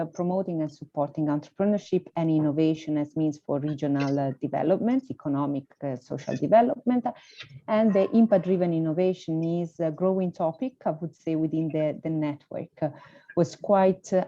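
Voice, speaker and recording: A woman's voice: low voice, mellow, no echo. A woman introducing herself on a video call, searching for words with many fillers. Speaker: network representative. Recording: muffled video call audio.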